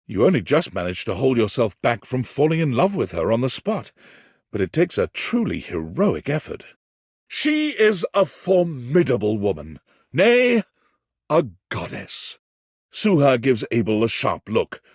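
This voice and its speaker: A man's voice: medium pitch, breathy edge, no echo, studio quality. An actor playing a wry, dramatic storyteller, a man narrating a cheeky dating game in an arch, florid, theatrical way. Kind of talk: scripted narration.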